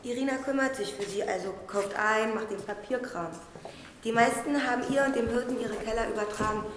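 An actress playing a matter-of-fact guide in a radio play, explaining things in a confiding, conversational way. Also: female voice, on the high side, fairly quick, noisy background, lightly acted. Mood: explanatory. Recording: echoey cellar-like room.

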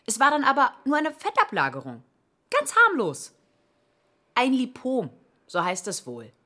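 A woman's voice: high-pitched, huge pitch swings, unhurried, bright, forceful tone, heavily acted. An actress in a radio play voicing a chatty, practical, reassuring woman in her sixties. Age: 60s. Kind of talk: radio drama acting.

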